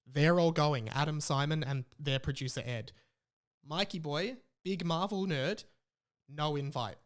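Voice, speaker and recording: A man's voice: on the high side, unhurried. A man with an Australian accent hosting a podcast in casual, informal chat with a mock-aggrieved way of talking. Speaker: podcast host.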